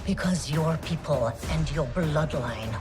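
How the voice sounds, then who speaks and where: A woman playing a cruel, gloating villain in a video game, gravelly, with a sneering, drawn-out taunting delivery. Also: low-pitched, loud music behind the voice. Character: cruel, gloating female villain, resentful soldier of a tyrant. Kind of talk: dramatic video game acting.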